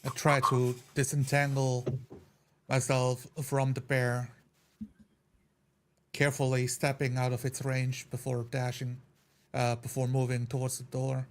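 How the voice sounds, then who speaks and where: A man's voice: medium pitch, monotone, measured pace, pauses often. A man playing a tabletop roleplaying game, describing his character's moves in a matter-of-fact way. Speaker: player.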